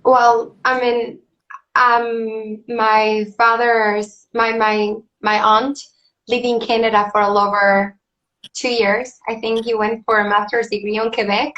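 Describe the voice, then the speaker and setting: A woman's voice: fairly high pitch, little pitch movement, unhurried, fairly bright tone, highs cut off. Bubbly young woman. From Bolivia. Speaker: pageant contestant. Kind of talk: casual video call chat.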